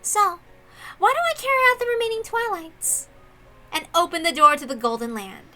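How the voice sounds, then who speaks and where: Woman acting as young female detective, very sharp high voice, proud, intense, theatrical, visual novel drama. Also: lively intonation, faint music behind, exaggerated voice. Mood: menacing triumph. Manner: theatrical, deliberate, dramatic monologue delivery.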